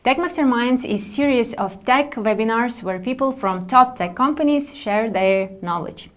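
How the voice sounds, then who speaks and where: A woman's voice: fairly high pitch, normal speed. A woman co-hosting a webinar over a video call, asking short friendly questions with fillers. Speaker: webinar co-host.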